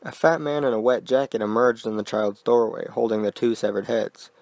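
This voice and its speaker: A man narrating a scripted horror story in a quiet, deliberate manner. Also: medium pitch, no echo.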